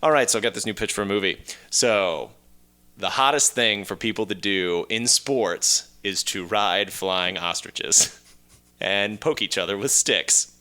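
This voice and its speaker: A man's voice: on the low side, measured pace, clean tone. A man co-hosting a podcast, playful and a bit crude, telling stories and bantering casually with friends. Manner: playful, animated, mildly crude. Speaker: podcast co-host. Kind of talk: casual joking banter and storytelling.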